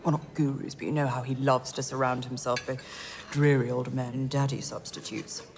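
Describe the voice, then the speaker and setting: A woman's voice: very deep voice, little pitch movement, moderate pace, a little mellow, a little hiss or hum. An actress playing a condescending, breezy upper-class English woman, a prince's worldly older lover, in a screen drama.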